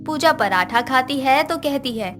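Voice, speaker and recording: A woman's voice: high-pitched, lively intonation, measured pace, fairly bright tone, faint music behind. A woman narrator reading a scripted moral bedtime story.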